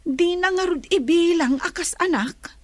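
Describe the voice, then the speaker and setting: A woman's voice: very high-pitched, unhurried. A woman playing an irresponsible, stubborn young wife in an Ilocano radio drama, whiny and sulky as she justifies herself. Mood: stubborn, pleading.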